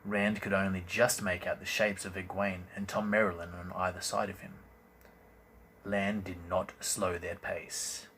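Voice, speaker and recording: A man reading a fantasy novel as dramatic narration, with deliberate, theatrical emphasis. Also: sits low, little pitch movement, fairly bright tone. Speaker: audiobook reader, host. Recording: amateur recording with faint background noise.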